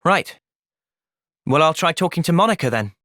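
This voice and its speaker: Young english soldier hero from a fantasy game, earnest n thoughtful, expressive pitch, very close dry sound. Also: male voice, dry recording. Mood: calm, thoughtful. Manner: earnest, measured, reflective. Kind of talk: video game cutscene acting.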